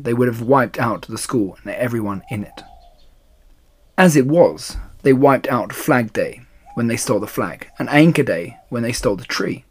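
A man reading a children's storybook aloud in an expressive style. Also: medium pitch. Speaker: storybook reader.

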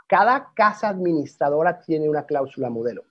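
A man's voice: on the high side, lively intonation, brisk, husky, dry recording. Portuguese-accented man giving a dry lecture. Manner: explanatory, with frequent restarts and fillers. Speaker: arbitration lecturer. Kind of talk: webinar presentation. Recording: dry, dull lo-fi sound.

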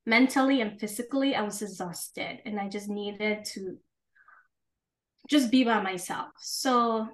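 A woman in her twenties co-hosting a podcast, talking candidly in a relaxed chat and laughing often. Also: mid-range voice, unhurried, low-quality recording. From Ghana and the United States. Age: 20s. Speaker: podcast co-host. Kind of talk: casual chat.

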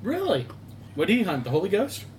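A man's voice: high-pitched, huge pitch swings, brisk, background noise. A man hosting an improv podcast, interviewing characters in a playful, laughing manner. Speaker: host.

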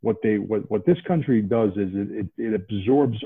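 A man's voice: on the low side, moderate pace. Guy from outside boston, guest on a podcast call, repeats words a lot, sounds like a phone line. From New England, outside Boston. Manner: animated, many restarts and repeated words. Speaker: podcast guest, investment banker. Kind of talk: casual interview talk.

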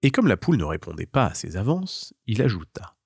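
A man's voice: on the low side, big pitch swings, fairly quick, gravelly, a little mellow, breathy edge, no echo, studio quality. A man narrating a scripted children's tale as a storyteller.

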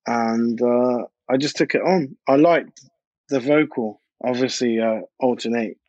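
A man's voice: medium pitch, a little slow, darkish tone, no room sound. Male interview guest, informal slangy chat, close and dry, slightly muffled lo-fi sound. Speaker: DJ and music producer, interview guest.

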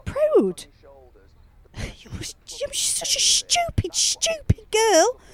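A woman acting high-voiced mum, exasperated. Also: big pitch swings, takes it slowly, heavily acted. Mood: scolding. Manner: scolding, colloquial. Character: nagging, scolding, exasperated British mother. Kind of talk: fan-made audio drama acting.

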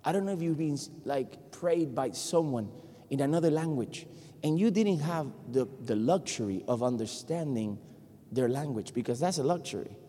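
A man from Puerto Rico, Spanish his first language, with a Puerto Rican accent, preaching an animated, joking sermon to a congregation. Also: a little high, moderate pace. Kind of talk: lively sermon. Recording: long hall reverb.

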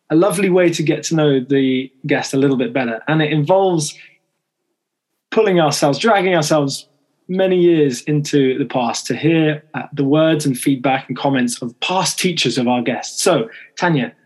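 A man's voice: fairly high pitch, a little mellow, breathy edge. A man hosting a podcast interview, asking questions that loop back on themselves. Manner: rambling, restarts his questions. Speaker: podcast host.

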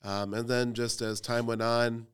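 A man's voice: medium pitch, flat delivery, a little slow, fairly bright tone, clear, resonant tone. A man in his thirties from Cleveland, a youth pastor talking casually on a podcast with lots of fillers and self-deprecating humor. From Cleveland, Ohio.

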